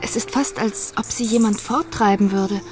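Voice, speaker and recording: A woman's voice: medium pitch, moderate pace, music in the background, lightly acted. An actress playing a sensitive young society lady in a radio play, poised but direct and questioning. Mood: dismayed, pressing. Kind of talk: radio drama acting.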